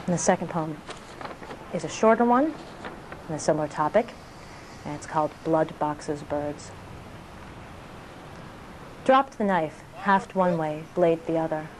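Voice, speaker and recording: A woman poet reading her work aloud in a measured delivery of short, clipped phrases. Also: deep voice, darkish tone, slight background noise, poor audio. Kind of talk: poetry reading.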